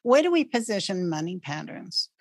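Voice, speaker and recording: A woman guest on a podcast, explaining ideas in an animated way and often checking in with "right?" and "okay.". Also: fairly low pitch, moderate pace, no echo, studio quality. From North America. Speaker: guest expert on patterns.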